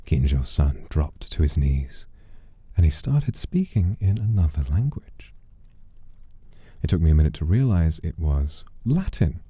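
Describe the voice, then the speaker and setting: Man, theatrical storyteller, first person, wry, unhurried, very dark mellow timbre, dry recording. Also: a bass voice, husky, dry recording. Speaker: streamer telling a story in character.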